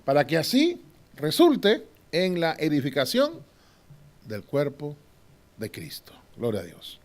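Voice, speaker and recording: A man teaching a Bible class in a preaching style. He often asks the class to agree and moves between loud and hushed delivery. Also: fairly high pitch, wildly swooping pitch, slow. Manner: swings from loud to hushed, with short laughs.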